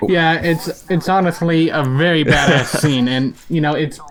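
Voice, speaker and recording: A man's voice: on the high side, moderate pace, low-quality recording. A man talking in an informal, supportive way in a casual chat.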